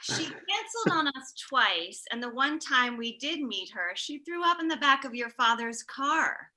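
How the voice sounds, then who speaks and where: A woman's voice: sits high, very animated, a little slow, pushed and bright, full character voice, low-quality recording. A woman acting a critical, easily wounded mother of a grown son at a comedy table read, pointed and reproachful.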